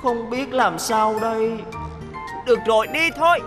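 A woman's voice: on the high side, unhurried, lightly acted. A woman voicing a cheerful little blue boy bus in a cartoon dub, chatty, eager to impress and given to nervous laughs. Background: music.

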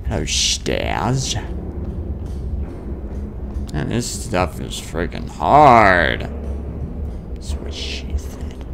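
A man's voice: low voice, wildly swooping pitch, very slow, vocal fry, pauses often, noisy background. A man in his twenties giving casual gameplay commentary, muttering to himself and exclaiming in bursts. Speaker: let's play host. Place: small dim room at home.

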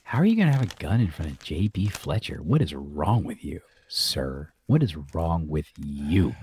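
A man's voice: very animated, moderate pace, darkish tone, a little hiss or hum. A man co-hosting a podcast, chatting playfully and laughing often while recapping a TV episode. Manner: playful, laughs often, jumps up in pitch for emphasis. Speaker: podcast co-host. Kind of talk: casual chat recapping a TV episode.

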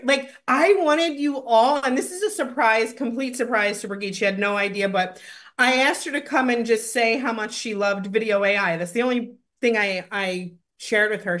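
A woman in her thirties hosting a webinar from home over a video call, presenting a product with excited, gushing talk and many fillers. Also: on the high side. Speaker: webinar host. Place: home room, indoors. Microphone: video call webcam.

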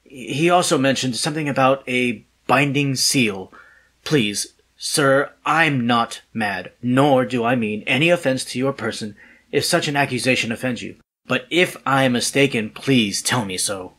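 An anxious, well-mannered man begging for help in a radio play, played by a male actor. His mid-pitched voice is husky. He sounds hesitant, earnest and desperate. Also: unhurried, fairly bright tone. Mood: pleading. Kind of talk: audio drama acting.

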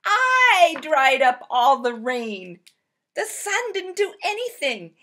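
A woman's voice: high voice, big pitch swings, slow, sharp tone, heavily acted. A woman voicing a big, bumbling, eager and indignant storybook spider in a theatrical, exclamatory children's read-aloud. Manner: theatrical, exclamatory, comically aggrieved.

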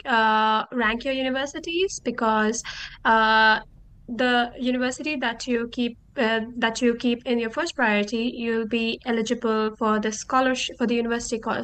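Young woman from Nepal, native Nepali speaker, smooth clear voice, slightly high, student giving tips. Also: a bit bright. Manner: friendly, hesitant, with many fillers. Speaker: master's student. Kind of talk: casual testimonial.

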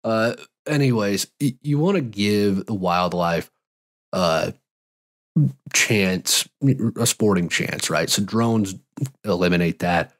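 Slightly raspy low-voiced podcast guy. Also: slow pace. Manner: relaxed, rambling, frequent uh and restarts. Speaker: podcast host and fly fishing guide. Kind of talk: casual solo podcast answering listener questions. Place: home studio with gear shelves. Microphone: large studio mic, headphones on.

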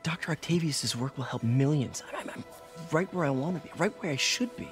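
An American man in his twenties playing an earnest, quippy young superhero in a game cutscene, halting, self-conscious and wry. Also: a little high, animated, soft background music, close to an everyday voice. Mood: awkward, hopeful. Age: 20s. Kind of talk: naturalistic video game cutscene acting.

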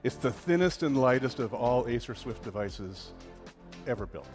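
A man speaks as a partner guest on an arena keynote stage, with a hearty, effusive delivery. His pitch is mid-range, and clear music plays behind him. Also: lively intonation, normal speed, darkish tone. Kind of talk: live stage remarks.

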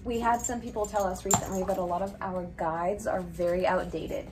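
A woman's voice: medium pitch, steady tone, brisk. A young woman co-running a bookshop, talking in an upbeat, agreeable way. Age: young adult. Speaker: bookshop co-owner. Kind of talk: casual vlog chat with ad read. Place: small bookshop indoors.

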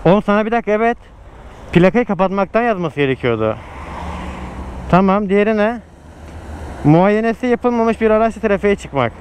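Fast-talking young man riding through traffic. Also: sits high, expressive. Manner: chatty, joking, talks to viewers. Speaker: motovlog host. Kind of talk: casual narration while riding. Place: outdoors on a motorcycle in city traffic at night. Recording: loud road and engine noise.

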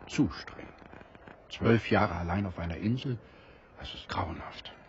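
Deep-voiced, calm castaway leader. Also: male voice, lo-fi sound, a little hiss or hum. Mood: earnest, kind. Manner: measured, earnest, reassuring. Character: calm, fair-minded engineer leading island castaways. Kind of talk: German radio play acting.

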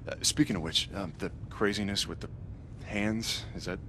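A man's voice: fairly low pitch, normal speed, noisy background, lightly acted. An actor playing a worried, exasperated lawman in his thirties in a video game, earnest and casual, sometimes hesitant. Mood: uneasy, earnest. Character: worried, exasperated older brother, a young lawman. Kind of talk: cinematic video game acting.

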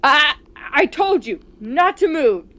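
Woman acting a high, panicked man, pleading. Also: sing-song, slow, bright, forceful tone, exaggerated voice. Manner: stammering, pleading, crying out. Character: panicked, frightened man warding off an intruder with a bat. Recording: muffled, noisy home microphone.

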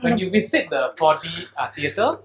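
A man's voice: fairly high pitch, big pitch swings, fairly quick, crowd noise. A man in his thirties narrating a casual travel vlog indoors into a handheld camera. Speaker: travel vlogger. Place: indoor visitor attraction. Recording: very noisy on-location camera sound.

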